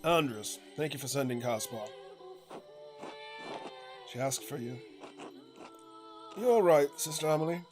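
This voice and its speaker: A man's voice: a little high, unhurried, long pauses, over music, natural voice. A man voicing a caring, authoritative village priest in a story game, with a courteous, formal and firm delivery. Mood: concerned, courteous.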